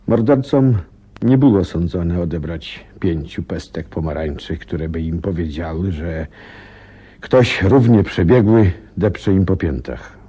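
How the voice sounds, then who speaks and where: A low, husky loyal companion. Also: male voice, warm tone, full character voice. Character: detective's loyal, curious male companion, plain-spoken and reflective. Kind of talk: Polish radio drama acting and narration.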